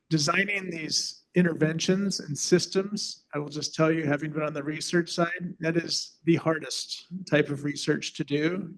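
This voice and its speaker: A man's voice: fairly high pitch, rough recording. A man moderating a medical conference session, thanking the speaker and taking questions, earnest in tone.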